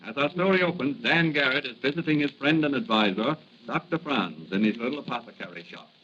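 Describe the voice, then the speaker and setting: A man's voice: medium pitch, brisk, fairly bright tone, slight background noise. A man narrating a 1940s radio serial in a formal, dramatic scripted style. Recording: muffled old radio recording.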